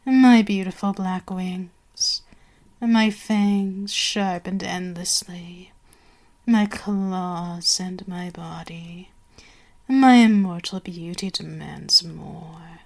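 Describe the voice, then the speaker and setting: A woman playing an imperious, seductive vampire queen in an ASMR roleplay, haughty and menacing, drifting into breathy whispers. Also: fairly low pitch, very slow, darkish tone. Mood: seductive, domineering.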